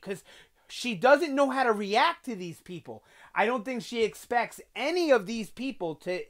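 Young New guy hosting a TV review channel, animated and loose, lots of "um" and "you know", webcam sound. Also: high-pitched. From New York. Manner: animated, gesturing a lot, chatty with fillers. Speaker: TV review host. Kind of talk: casual video review. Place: small bedroom with collectibles on shelves.

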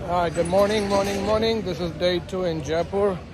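A man's voice: high-pitched, brisk, music in the background. A man in his forties narrating a casual travel vlog in short, upbeat phrases, filming himself outdoors with a phone at arm's length. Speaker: travel vlogger. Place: outdoors on city streets and in a rickshaw. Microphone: phone held at arm's length for selfie video.

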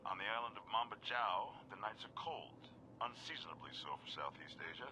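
Breezy retro newscaster, fairly low pitch, scripted game broadcast, clear background noise. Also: male voice, lively intonation, fairly quick, bright, forceful tone, rough recording. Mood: breezy, upbeat. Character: upbeat, folksy male retro television newscaster. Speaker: TV news and weather anchor. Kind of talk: retro 1950s-style newscast.

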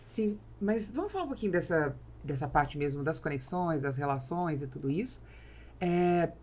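A woman's voice: low-pitched, animated, normal speed, darkish tone, slight background noise. A middle-aged woman with a Brazilian accent interviewing conversationally as a podcast host, close to the microphone in a small studio. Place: small studio with acoustic foam, indoors. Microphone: close podcast microphone on boom arm.

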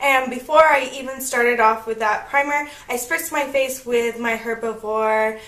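A chatty young woman in her twenties talks through her makeup routine in a fairly high voice, her tone slightly croaky as she gets over a cold. She is recorded at home on a camera mic, and the room gives the sound a noticeable echo. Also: measured pace, fairly bright tone. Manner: chatty and upbeat, narrating each step. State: slightly croaky, recovering from illness. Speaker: beauty vlogger. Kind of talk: casual makeup tutorial chat. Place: bedroom at home, indoors. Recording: echoey room.

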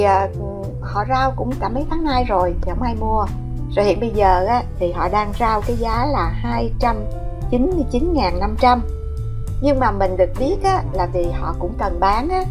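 A Vietnamese woman vlogging casually, speaking politely to viewers with many fillers as she tours a house listing and shows a map. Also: mid-range voice. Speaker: real estate vlogger. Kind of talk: casual narrated house tour.

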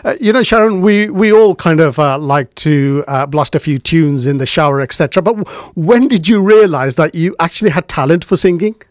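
A man's voice: fairly high pitch, moderate pace, few pauses, dry recording. Middle-aged male presenter; friendly, hesitant questioning, close dry mic. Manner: friendly questions with many uh fillers. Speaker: radio presenter. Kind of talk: casual radio interview. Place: radio studio. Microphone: studio microphone with headphones.

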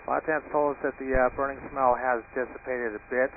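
A male airline pilot talks quickly in radio calls to air traffic control. His mid-pitched voice comes through a tinny, band-limited cockpit radio with faint noise behind it. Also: flat delivery.

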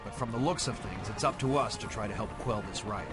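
An actor playing a level-headed, wry costumed crime fighter in a video game, speaking in a conversational way. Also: male voice, on the low side, a little fast, lightly acted. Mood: dry. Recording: loud music behind the voice.

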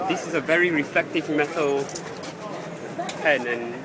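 A man giving halting, unscripted commentary as he films outdoors on a handheld device in a busy city street. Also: on the high side. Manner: halting, searching for words. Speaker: amateur video maker filming a street scene. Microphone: handheld phone or camera, held by the speaker. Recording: noisy busy city street, traffic and crowd.

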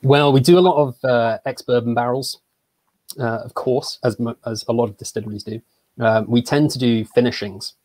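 A young English man from Surrey, a brand ambassador presenting in an online tasting, relaxed and hesitant with many fillers. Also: mid-range voice, normal speed, no echo. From England (Surrey). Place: small home room. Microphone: video call.

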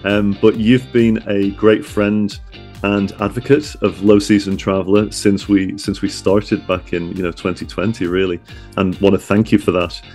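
A man's voice: on the low side, level tone, normal speed, darkish tone, soft background music. A man hosting a podcast in a chatty, wordy, conversational interview style, often repeating his words. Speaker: podcast host.

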